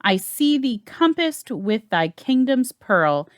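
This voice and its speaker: A woman's voice: fairly high pitch, sing-song, slow. A woman playing a fierce, vengeful warrior thane in an amateur Shakespearean dramatic reading. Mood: triumphant. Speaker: amateur reader in library staff dramatic reading. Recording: noticeable background noise, clicks.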